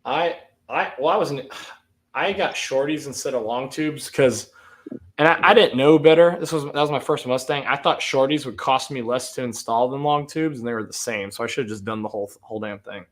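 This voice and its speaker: A man hosting a casual car livestream, rambling and restarting as he talks in quick bursts. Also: mid-range voice. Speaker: livestream host.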